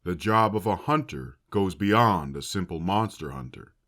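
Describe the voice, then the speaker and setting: A man's voice: low-pitched, animated, measured pace, fairly bright tone, exaggerated voice. A man playing a stern but caring academy headmaster giving a formal, solemn speech in an audio drama. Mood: solemn warning. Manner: solemn, oratorical.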